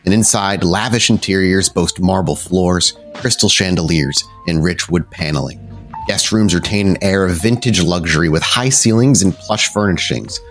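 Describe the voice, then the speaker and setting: A man's voice: sits low, moderate pace, a bit bright, soft background music. A man narrating a tabletop roleplaying game descriptively as game master.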